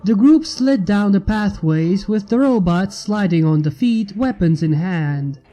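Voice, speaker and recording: A man's voice: sits high, lively intonation, unhurried, mellow. A man reading a fantasy story aloud as narrator, with music behind him. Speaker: fan fiction reader. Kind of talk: scripted story narration with character voices. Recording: music under the voice. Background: background music.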